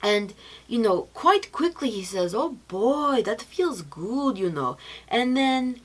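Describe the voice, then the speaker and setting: Young female presenter, higher-pitched voice, informal testimonial storytelling, acts out quotes. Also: sing-song, takes it slowly. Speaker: speaker presenting product testimonials. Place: small decorated indoor set.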